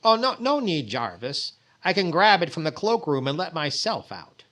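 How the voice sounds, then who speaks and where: Vain, flustered 1940s radio comedy lead, played by a man; lightly high, lively pitch, stammering timing. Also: moderate pace. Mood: naively miffed. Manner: exclaiming, hesitant stammers, comic timing. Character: vain, naive, fussy comedian, easily flustered, boasting of possessions.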